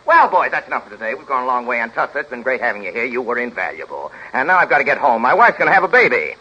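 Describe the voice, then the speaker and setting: An American actor playing a bossy, self-important, impatient Hollywood producer in a 1930s radio comedy, barking orders. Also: male voice, mid-range voice, big pitch swings, very fast, lightly acted. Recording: scratchy, muffled 1930s radio broadcast.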